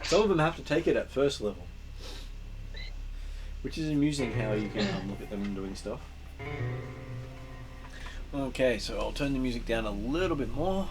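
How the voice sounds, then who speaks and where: A man running a tabletop game as game master, relaxed and joking while explaining rules. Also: medium pitch, moderate pace, long pauses, over music, poor audio.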